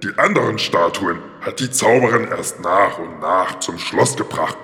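A gravelly, creaky talking lion acted by a man speaks in a big reverberant hall. The creature is simple, grumpy and always hungry, pleading and stumbling over repeated words in a fairy-tale radio play for children. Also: low-pitched, moderate pace, bright, forceful tone, voice pushed down. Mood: hesitant. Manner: hesitant, repeats words, plaintive.

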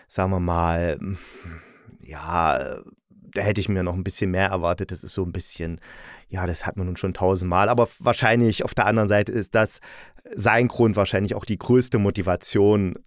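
A man's voice: fairly low pitch, dry recording, studio quality. A man hosting a casual spoken review, talking in an opinionated, nitpicking, colloquial way. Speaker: audio drama reviewer and host.

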